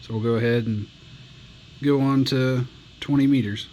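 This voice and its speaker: A man giving a casual hands-on review as a radio hobbyist, plainspoken and folksy with halting phrasing, recorded indoors. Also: mid-range voice, steady tone, noisy background.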